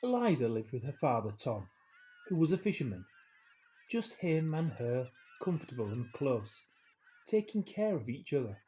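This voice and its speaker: Guy reading a kids story, soft mellow voice, measured n expressive, mid pitch. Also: poor audio. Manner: measured, expressive phrasing with deliberate pauses. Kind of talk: scripted children's story narration.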